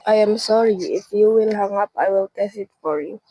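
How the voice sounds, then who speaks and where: Young woman as a mellow, formal telephone operator. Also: medium pitch, close to an everyday voice, poor audio. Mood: polite, impassive. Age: teenager. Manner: formal, scripted, measured politeness. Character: polite, unflappable female telephone operator, formal and impersonal. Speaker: student performer. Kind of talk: amateur radio drama acting.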